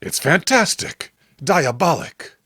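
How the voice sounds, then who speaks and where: A man voicing a desperate, horrified man trapped inside a doll, halting and melodramatic, in a horror comic. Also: fairly high pitch, big pitch swings, sharp tone, slightly rough, no echo, clean studio sound, full character voice. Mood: amazed. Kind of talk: horror comic read-along acting.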